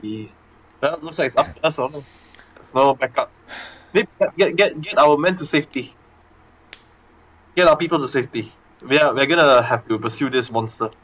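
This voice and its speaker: A man's voice: medium pitch, moderate pace, long pauses, fairly bright tone, a little hiss or hum, poor audio. A man playing in an online tabletop game, joking and exclaiming. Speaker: player.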